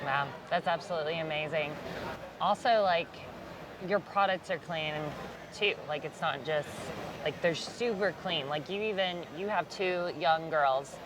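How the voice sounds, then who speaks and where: A woman's voice: low-pitched, unhurried, background noise. A woman interviewing a brand founder, enthusiastic and full of "like" fillers. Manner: enthusiastic, heavy use of "like". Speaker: interviewer, barber. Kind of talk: sit-down interview. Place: outdoors at a busy trade convention.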